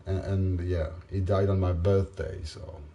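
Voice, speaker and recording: Guy, deep voice, worn out, slow halting self-mocking ramble. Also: fairly even intonation, vocal fry, mellow, dull audio. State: tired after a late night. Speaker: vlogger. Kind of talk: casual rambling monologue.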